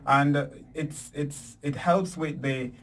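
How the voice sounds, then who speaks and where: A man's voice: a little high, takes it slowly. A man, chatting as a fitness and wellness guest, with lots of fillers and restarts. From Jamaica. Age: 40s. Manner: rambling, with many fillers and restarts. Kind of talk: casual interview chat. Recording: distant mic.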